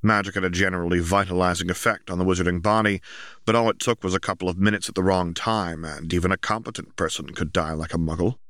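Low-voiced man narrating a story. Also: steady tone, fast talker, fairly bright tone, dry recording, clean studio sound. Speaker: audiobook narrator.

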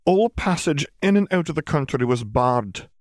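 A stern older witch professor, played by a man in an audiobook story. The voice is lifted high and sounds close and dry. She speaks formally and gravely, in measured explanations. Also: animated, dry recording, natural voice. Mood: grave, careful.

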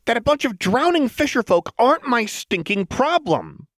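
A brash, agitated young woman from a fantasy story, acted out by a male reader. The voice sits far higher and bristles with sullen, snappish energy. It is recorded dry and close. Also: sing-song, a bit bright, dry recording, full character voice. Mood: exasperated. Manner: sullen, snappish, fast muttering outbursts.

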